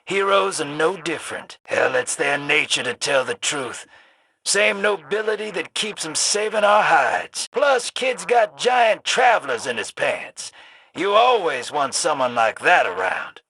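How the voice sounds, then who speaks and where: Cocky game rogue, raspy, fairly high for a man, teasing, folksy slow drawl, sardonic. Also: big pitch swings, pushed and bright, no room sound, exaggerated voice, poor audio. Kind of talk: colourful video game voice acting.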